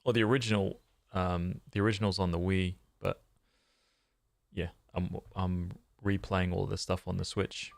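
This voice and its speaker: A man's voice: sits low, level tone, moderate pace, vocal fry. A man streaming games, chatting casually in a laid-back, informal way into a close microphone. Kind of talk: casual livestream chat.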